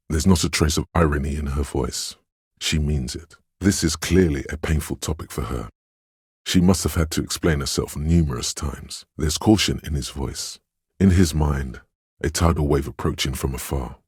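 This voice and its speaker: A man voicing a game's inner voice of empathy, a gentle, perceptive, sorrowful narrator who speaks in a measured, solemn, intimate way. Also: rumbling low, husky, dry recording, studio quality, exaggerated voice. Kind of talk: scripted second-person game narration.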